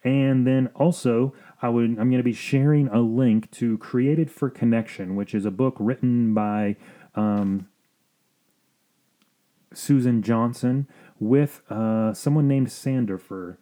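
A man hosting a podcast as a marriage counselor, explaining things in an earnest, measured monologue. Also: medium pitch, mellow.

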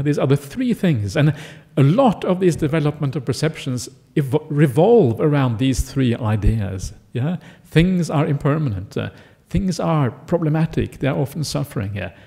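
A middle-aged man born in Norway, a Buddhist monk giving a relaxed, conversational Dharma talk full of "uh" fillers and "yeah?" checks. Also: medium pitch, moderate pace, gravelly, hardly any pauses.

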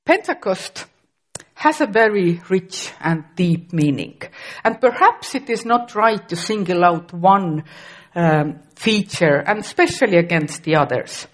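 Slow-speaking Estonian woman preaching. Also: fairly low pitch, animated. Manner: slow, careful delivery with occasional restarts. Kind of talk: sermon.

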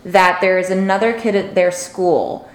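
A woman's voice: medium pitch, steady tone, unhurried. Echoey, chatty woman podcast host. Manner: chatty, laughs often. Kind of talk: casual conversational movie review. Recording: echoey room.

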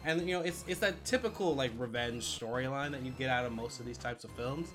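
A man's voice: medium pitch, a little fast, music in the background. A man co-hosting a podcast in casual film discussion, chatty and loosely profane. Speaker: podcast co-host.

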